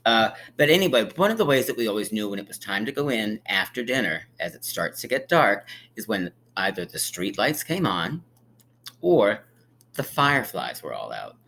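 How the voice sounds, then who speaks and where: A man reading a picture book aloud to young children like a teacher, with emphatic pauses and encouraging, friendly asides. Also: fairly low pitch, sing-song, vocal fry, sharp tone, a little hiss or hum. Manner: encouraging, inviting listeners to count along; drawn-out, emphatic storytelling delivery with dramatic pauses.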